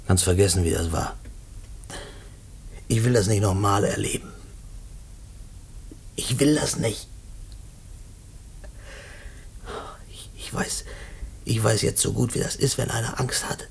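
An actor in a German radio play voicing a condemned prisoner, a working-class family man who speaks haltingly and repeats himself. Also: lowish voice, a little hiss or hum. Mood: anguished, fearful.

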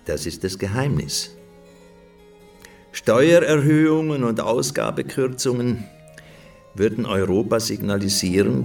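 Radio play: a persuasive, courtly banker-minister, very slow and gravelly, slightly off mic. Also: male voice, fairly low pitch, recorded from a distance, full character voice. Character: confident male Swiss banker turned royal finance minister.

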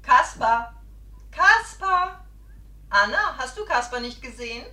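A woman's voice: high voice, lively intonation, takes it slowly, sharp tone, exaggerated voice. An actress playing an anxious middle-aged mother in a radio play, calling out urgently for a missing boy. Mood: worried calling. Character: anxious middle-aged mother searching for her foster son. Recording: echoey room.

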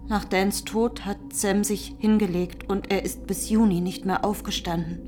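A woman's voice: medium pitch, fairly even intonation, moderate pace, darkish tone, lightly acted. An actress in a German radio drama playing an anxious but defiant farm woman, earnest and insistent. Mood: subdued, pleading.

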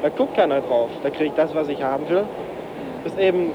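Higher-voiced man on noisy, dull tape. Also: a little fast. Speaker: interviewee. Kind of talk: casual interview talk. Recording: dull cassette tape with street noise.

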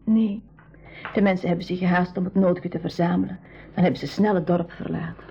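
A woman's voice: on the low side, fairly even intonation, a little fast, creaky, a little hiss or hum. A shy village girl of seventeen, frightened and hesitant as a refugee in an old radio drama. She is sparing with words. The recording is dull and lo-fi. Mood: frightened, subdued. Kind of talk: 1980s school radio play acting.

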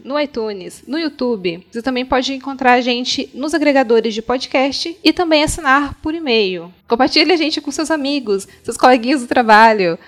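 A woman with a Brazilian accent co-hosting a podcast in a casual, chatty style. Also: fairly high pitch. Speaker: podcast co-host.

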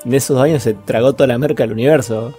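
A man's voice: medium pitch, steady tone, rapid-fire, warm tone, faint music behind. A man with an Argentine accent co-hosting a podcast, chatting casually about films in slangy language with plenty of swearing. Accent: Argentine Spanish. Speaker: podcast co-host. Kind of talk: casual film chat.

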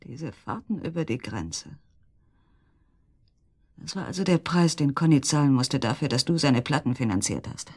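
An actress in a German radio drama playing an anguished wife who accuses a treacherous friend with intense, halting questions. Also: female voice, rumbling low, sing-song, darkish tone, husky, full character voice. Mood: accusing.

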